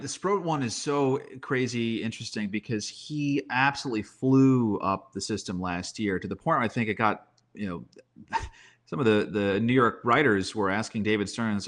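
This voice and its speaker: A man's voice: lowish voice, a bit bright. A man, a sports broadcaster as podcast guest, talks through baseball analysis conversationally, often posing questions to himself.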